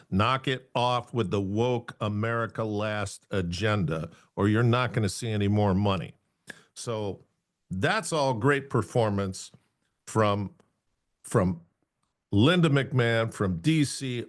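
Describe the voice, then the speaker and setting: A man hosting a news show, giving informal, opinionated commentary in a plain-spoken way. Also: on the low side.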